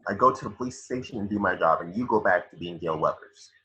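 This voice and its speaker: A man playing a cool, no-nonsense police detective in an amateur horror script reading, with a husky voice. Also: low voice, fast talker, bright, forceful tone, highs cut off, exaggerated voice. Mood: firm, businesslike.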